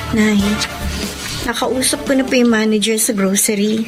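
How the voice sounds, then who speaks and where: A woman acts out a dutiful young Filipino daughter in a radio drama. Her voice is high, and she speaks politely and earnestly. Soft music plays behind her. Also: steady tone, normal speed, close to an everyday voice. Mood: subdued. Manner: respectful, earnest.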